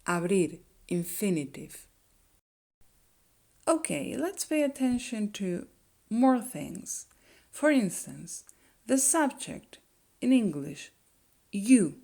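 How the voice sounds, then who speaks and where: Slow, gravelly woman teacher. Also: medium pitch, sing-song, warm tone. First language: Spanish. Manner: slow and deliberate, checks in with "okay?". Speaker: Spanish teacher. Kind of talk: language lesson.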